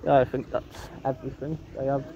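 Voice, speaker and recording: A man's voice: mid-range voice, level tone, vocal fry, dark tone. A man chatting casually while filming a visit to a small farm on his phone. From England. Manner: slow, laid-back remarks to the camera. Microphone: handheld phone. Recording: muffled phone audio with outdoor noise.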